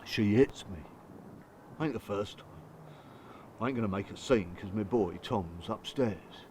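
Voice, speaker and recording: A man's voice: fairly low pitch, a little slow, a little mellow, a little hiss or hum, lightly acted. An actor playing a bitter, working-class English father in a radio drama, confessing his hurt in blunt, colloquial, strained speech. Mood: weary, hurt. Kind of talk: radio drama dialogue and monologue.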